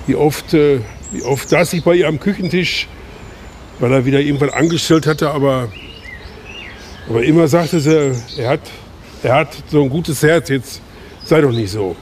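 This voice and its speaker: Man playing a middle-aged village man, halting, restarts, earnest, fairly high voice. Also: a little hiss or hum, lightly acted. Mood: solemn. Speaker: eulogy speaker. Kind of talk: radio play acting.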